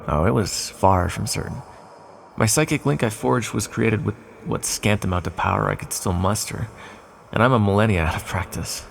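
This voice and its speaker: A man playing an ancient, imprisoned non-human villain in an intimate fantasy audio roleplay, teasing, condescending yet affectionate, with a husky, gravelly voice. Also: fairly low pitch, normal speed, slight background noise. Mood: pleased, teasing.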